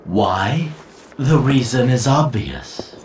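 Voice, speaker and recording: An actor playing a smooth, eloquent schemer with an insinuating, theatrical manner in a video game cutscene. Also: male voice, medium pitch, sing-song, takes it slowly, a little mellow, a little hiss or hum. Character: smooth, theatrical, scheming male manipulator counseling heroes. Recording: roomy echo, distant, music behind.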